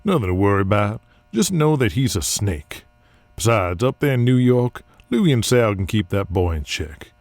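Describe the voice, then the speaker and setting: An actor playing an earnest, hard-bitten pro wrestler in an audio drama, plain-spoken, deliberate and brooding. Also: male voice, on the low side, big pitch swings, a little mellow, dry recording. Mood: guarded, gruff.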